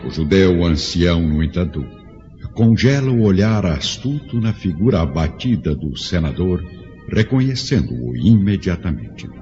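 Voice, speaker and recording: A man's voice: low-pitched, sing-song, measured pace, warm tone. A man narrating a radio drama as a solemn storyteller, with dramatic scripted delivery. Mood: suspenseful. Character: solemn storyteller of an ancient epic. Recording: dull lo-fi radio drama, music behind.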